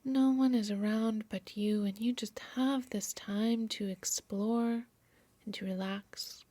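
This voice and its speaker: A woman yoga nidra instructor softly narrating a guided meditation in a soothing, measured, breathy voice. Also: medium pitch, fairly even intonation. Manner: soft, soothing, measured delivery.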